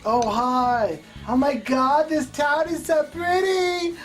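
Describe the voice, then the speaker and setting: A man voicing a dazed, airheaded young female puppet in improvised comedy, gushing in drawn-out phrases. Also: very high-pitched, fairly even intonation. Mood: blissfully gushing. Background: music.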